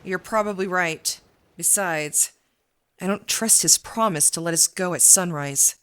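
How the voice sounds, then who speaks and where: A woman's voice: lowish voice, unhurried, fairly bright tone. An actress playing an anxious, vengeful woman fleeing a hunter in an audio drama, earnest and dramatic in delivery. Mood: bitter, worried.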